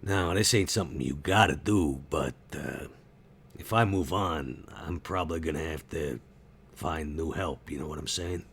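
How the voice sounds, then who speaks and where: Man playing a very low-voiced folksy, guarded supplier. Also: creaky, a bit bright, husky, exaggerated voice. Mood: guarded, persuasive. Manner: folksy, drawling hesitations, measured.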